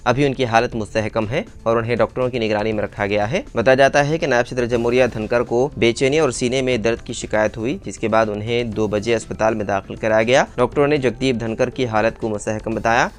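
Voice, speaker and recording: Male news narrator, mid-range pitch, formal diction, reading scripted bulletin copy. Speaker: news reader.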